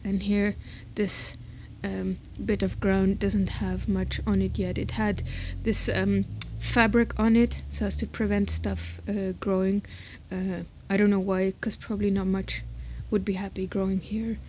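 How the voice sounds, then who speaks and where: A woman's voice: mid-range voice, level tone, a little slow, hardly any pauses, a little mellow. A woman giving a casual, unscripted walking tour, thinking aloud hesitantly and informally, recorded outdoors on a handheld device. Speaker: host giving a tour of their own garden plot. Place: outdoors around a house and garden. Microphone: handheld camera or phone while walking. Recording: noisy outdoor handheld recording, ambient sound.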